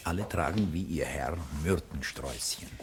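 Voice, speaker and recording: A man's voice: extremely low pitch, normal speed. A man reading scripted stage directions as the narrator of a radio play. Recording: old recording with clear hiss.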